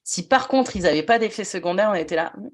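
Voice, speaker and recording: A woman's voice: mid-range voice, animated, fast talker. A woman who was once a nurse, hosting a podcast conversation and talking things through in a reflective way with frequent restarts. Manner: reflective, thinks aloud with many restarts. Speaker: podcast host, former nurse.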